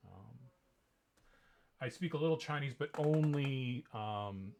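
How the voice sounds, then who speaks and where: A man chatting casually and ironically about books he picked up, deadpan and joking, with many pauses and restarts. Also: medium pitch, gravelly, a little hiss or hum. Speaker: vlogger. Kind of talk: casual ironic haul chat.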